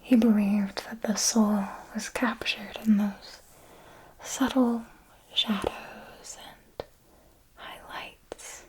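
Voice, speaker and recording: A woman playing an ancient female vampire mentor in an ASMR roleplay, speaking in a breathy whisper with a slow, deliberate, intimate and seductive manner. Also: mid-range voice, steady tone, low-quality recording. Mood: wistful, sensual.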